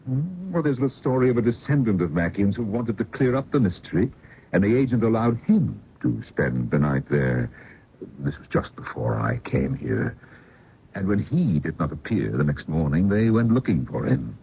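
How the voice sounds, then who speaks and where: An actor playing a haunted older man, telling ghost tales in a halting, dramatic old-time radio style with muttered pauses. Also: on the low side, very animated, vocal fry, breathy edge, full character voice. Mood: hushed, uneasy. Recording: muffled phone-like radio recording, faint noise.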